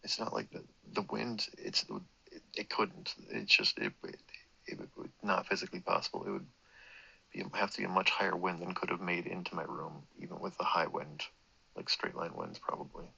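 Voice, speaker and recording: A man's voice: sits low, animated, normal speed, gravelly, pushed and bright, slightly rough, muffled recording. A man with a sore throat telling a long personal story, meandering and correcting himself, swearing casually. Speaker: vlogger. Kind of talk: rambling personal monologue.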